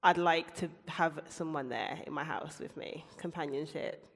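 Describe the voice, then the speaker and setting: A woman's voice: sits low, steady tone, moderate pace. Thoughtful woman in her thirties. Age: 30s. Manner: thoughtful, hesitant, musing aloud. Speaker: panelist. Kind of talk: casual debate. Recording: long reverb from the room.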